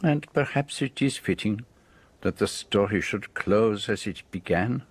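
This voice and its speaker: Belgian detective, French-speaking accent, lowish pitch, measured, exact, radio drama. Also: male voice, lively intonation, husky, no room sound. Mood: measured, assured. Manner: measured, precise, formal explanation. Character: shrewd, precise, theatrical Belgian detective, middle-aged man.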